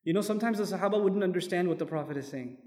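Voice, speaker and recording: Guy giving a quran lesson, fairly high voice, lots of hall echo, keeps asking the room questions. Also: rapid-fire, mellow. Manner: explains step by step, asks the audience questions; quotes Arabic verses. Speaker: Quran teacher. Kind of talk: lecture-style sermon. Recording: long hall reverb.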